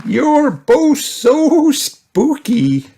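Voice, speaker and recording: A kindly old granny pig voiced by a man, raised in pitch and slowly drawn out. She is playful and grandmotherly, a storybook figure with music behind. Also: very animated, mellow, some chatter behind, a little hiss or hum, heavily acted. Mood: cheerful.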